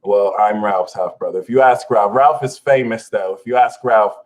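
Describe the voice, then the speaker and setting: A man's voice: fairly low pitch, moderate pace. Grandiose man hosting a mock astrology ritual. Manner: grandiose, theatrical, swooping and dropping to hushed tones; repeats words and phrases, stumbling restarts. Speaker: self-styled astrologer host. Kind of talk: parody spiritual guidance video.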